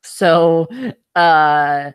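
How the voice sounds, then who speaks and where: A man's voice: on the high side, flat delivery, very slow, clear, resonant tone, no echo. A young man co-hosting a casual podcast chat, stammering and repeating words, chuckling often. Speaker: podcast co-host.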